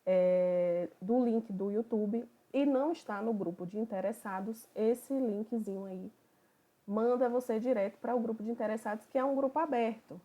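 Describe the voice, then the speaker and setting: A woman from Bahia, a psychoanalyst who opens an online study group in a relaxed, conversational way. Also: fairly high pitch, moderate pace, lo-fi sound. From Bahia, Brazil. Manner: relaxed, conversational, thinking aloud.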